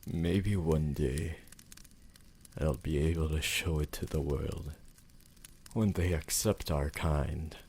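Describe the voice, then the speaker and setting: Man voicing a very deep, gravelly vampire lover. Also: darkish tone, a little hiss or hum, exaggerated voice. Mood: possessive, tender. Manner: slow, formal, tender, theatrical endearments. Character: devoted, possessive, romantic immortal male vampire lover. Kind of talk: ASMR roleplay monologue.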